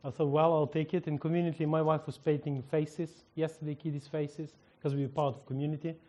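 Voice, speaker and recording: Ukrainian-accented minister telling a story. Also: male voice, a little high, brisk. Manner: lively storyteller, acts out dialogue, laughs often. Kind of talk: sermon testimony storytelling.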